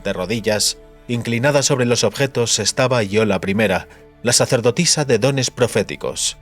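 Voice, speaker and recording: A man's voice: mid-range voice, normal speed. A man reading dramatic scripted narration as an audiobook narrator. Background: music.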